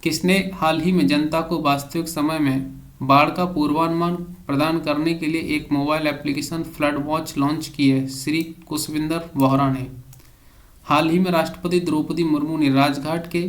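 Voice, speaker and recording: A man teaches an exam class by reading out questions and answers. His voice sits a little high for a man. The delivery stays very even, with little melodic movement. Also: moderate pace.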